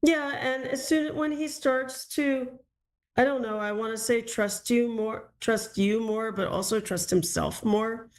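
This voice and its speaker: A woman's voice: fairly high pitch, fairly even intonation, unhurried. A woman giving a psychic reading to a caller, reassuring and searching for her words as she goes. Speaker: psychic reader.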